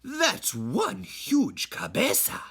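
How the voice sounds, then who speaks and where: A man's voice: sits high, wildly swooping pitch, extremely slow, vocal fry, a bit bright, slightly rough, voice pushed up, full character voice. A man doing a bouncy little boy kitten. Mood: astonished. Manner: bouncy, exclaiming, sing-song. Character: excitable young boy kitten, dreamy.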